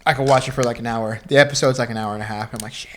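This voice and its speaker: A man's voice: mid-range voice, steady tone, very fast, fairly bright tone. A man co-hosting a podcast in casual, easygoing banter, joking and repeating his phrases. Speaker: podcast co-host.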